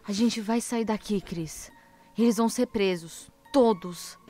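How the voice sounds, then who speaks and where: A woman's voice: medium pitch, unhurried, natural voice. An actress playing a frightened, distressed Brazilian woman in an audio drama, anxious and emotional. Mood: tense, hushed. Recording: loud music over the voice.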